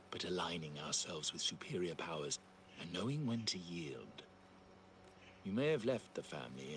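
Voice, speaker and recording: A man's voice: deep voice, fairly bright tone, slight background noise. A man playing a cold, ambitious schemer in a crime family, speaking with controlled, calculating menace in a video game. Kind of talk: dramatic video game dialogue.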